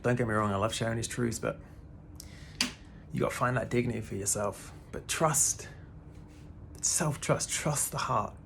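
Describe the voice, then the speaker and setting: A man's voice: medium pitch, moderate pace, creaky, long pauses, background noise. A man in his thirties giving a casual, laid-back spiritual talk to the camera, often calling the listener "man" and swearing now and then. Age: 30s. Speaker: spiritual vlogger.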